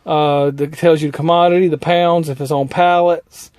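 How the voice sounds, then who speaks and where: A man's voice: a little high, steady tone, moderate pace, darkish tone, clean tone, no room sound. A man talking casually as a trucker vlogger, explaining things in a folksy, colloquial way.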